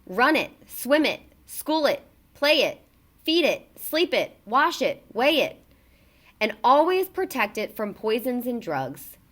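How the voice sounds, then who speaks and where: A woman with a fairly high voice reads a children's book aloud. Her intonation swings widely and she stresses words expressively, pitching the narration to young listeners. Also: slow. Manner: expressive, emphatic delivery for children.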